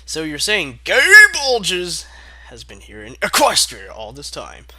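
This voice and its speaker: Bright, animated young man reading fanfic aloud. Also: on the high side, takes it slowly. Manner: sarcastic asides, mock-exasperated. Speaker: fanfic reader. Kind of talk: comedic dramatic reading.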